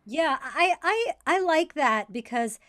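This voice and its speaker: A woman comedian whose first language is Tamil, telling stories with lively energy in a casual interview over a video call. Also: sits high, takes it slowly. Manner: lively, animated storyteller. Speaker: comedian guest.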